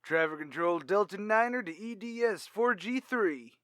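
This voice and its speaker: A man acting a brisk, authoritative space fleet commander in a retro radio drama. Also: high-pitched, expressive, pushed and bright, slight background noise. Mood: clipped countdown. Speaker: announcer and actor in an audio drama.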